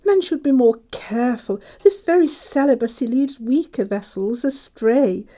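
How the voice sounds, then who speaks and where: A woman's voice: a little high, moderate pace, dark tone, lightly acted. A woman playing a prim middle-aged governess in an audio stage comedy, pompous and sententious, with coy amorous hints. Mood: coy, lecturing.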